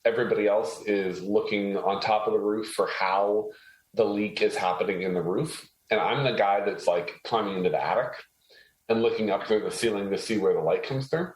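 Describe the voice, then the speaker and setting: A man from Haiti speaking as an interview guest, explaining things conversationally with measured self-corrections. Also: fairly low pitch. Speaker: interview guest, tech entrepreneur. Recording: roomy, echoey sound.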